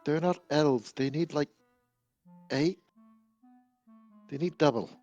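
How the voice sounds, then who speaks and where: Male game player, casual conversational delivery, somewhat muffled audio with soft music behind. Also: on the high side, slow pace. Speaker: player in a tabletop roleplaying game. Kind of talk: casual chat.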